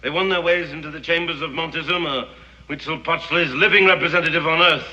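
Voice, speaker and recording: A man's voice: fairly high pitch, steady tone, fast. An actor playing a fanatical Englishman devoted to a sun god, telling a curse story in grand theatrical swings. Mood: ominous storytelling. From England. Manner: theatrical, grandiose storytelling, veering from hush to shouting. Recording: roomy, dull old TV soundtrack with reverb and music.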